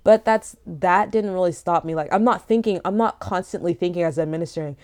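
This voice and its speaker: A woman's voice: fairly low pitch, fairly quick. An African-born young woman minister telling her own experiences in a casual, emphatic way, often restarting her sentences. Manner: emphatic, animated storytelling with frequent restarts. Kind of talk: casual personal testimony to camera.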